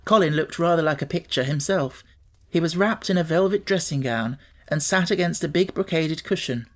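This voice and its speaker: A man's voice: a little high, moderate pace. Male audiobook narrator, scripted storybook reading, literary prose.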